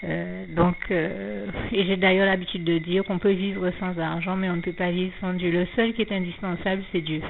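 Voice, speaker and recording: A woman with a lowish voice speaks slowly through her faith testimony, full of hesitations, fillers and restarts, with a slight frog in her throat. The sound is thin and tinny like a phone line, with faint noise behind it. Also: steady tone, vocal fry. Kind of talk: anonymous spoken faith testimony.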